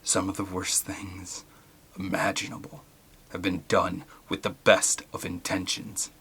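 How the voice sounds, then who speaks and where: A man acts out a stern male scientist in an adventure audiobook, low and forceful. He is grave and blunt, a brooding leader who speaks in clipped, decisive phrases. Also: completely flat delivery, a little slow, breathy edge, exaggerated voice. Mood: cold, disgusted.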